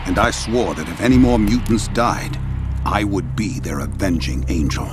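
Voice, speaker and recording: An actor playing a grave, vengeful, accusing avenger in a video game, speaking solemnly and formally. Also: male voice, low voice, animated, moderate pace. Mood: solemn accusation. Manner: solemn, formal, pronouncing judgment. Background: music.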